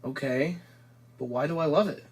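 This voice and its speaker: A man's voice: on the high side, moderate pace, a little mellow, close to an everyday voice. A man playing an anxious, inquisitive young man with an electronic body in an audio drama, with a wry, questioning manner. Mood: wry, wistful. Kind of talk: audio drama dialogue and reflective first-person narration.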